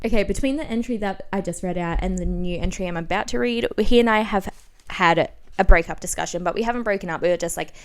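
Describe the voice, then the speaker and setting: Podcast host: Australian woman, twenties, clean smooth tone, theatrical diary-reading delivery with self-deprecating, chatty asides. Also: medium pitch, fast. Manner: animated, self-mocking, giggly, exclaiming.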